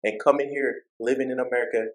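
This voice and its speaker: Jamaican-accented man, mid-pitched finance YouTuber, motivational and direct, leans on repeated phrases. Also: steady tone, fast talker. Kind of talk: motivational talk to camera.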